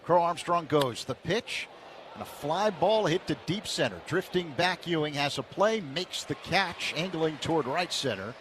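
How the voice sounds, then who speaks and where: A man doing radio play-by-play of a baseball game, measured in tone and rising with the action. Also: fairly high pitch, expressive, a bit bright. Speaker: play-by-play announcer. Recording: broadcast with clear background noise.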